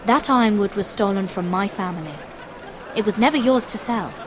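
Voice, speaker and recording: An actress playing an earnest but resolute young noble handmaiden in a game, with guarded, measured delivery. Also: female voice, mid-range voice, noisy background, natural voice. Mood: guarded, firm. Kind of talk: dramatic game cutscene acting.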